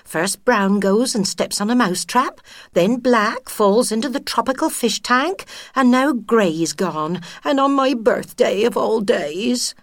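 A woman's voice: a little high, lively intonation, a little slow, dry recording, studio quality, voice pushed up. A woman voicing a widowed mother mouse, sniffy, resigned and world-weary.

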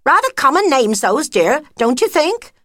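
A woman voicing a gushing female mouse friend with a shrill, loud, quick way of talking. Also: very animated, no echo, clean studio sound.

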